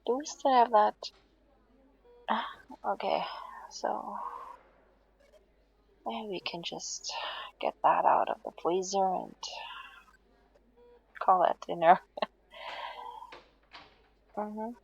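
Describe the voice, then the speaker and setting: A woman playing a weary, stubborn but affectionate hospital patient in an intimate audio roleplay, speaking softly and hesitantly, often half-whispering. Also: medium pitch, very animated, creaky, husky, lo-fi sound, heavily acted. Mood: soft, hopeful. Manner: hesitant, halting, reassuring, drowsy.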